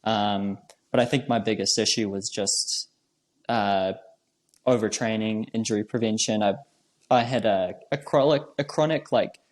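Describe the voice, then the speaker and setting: A man's voice: lowish voice, a little slow. A young man from New Zealand, a trail runner, chatting casually as an interview guest and filling his talk with "like" and "um". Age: young adult. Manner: relaxed, with many fillers like "like" and "um".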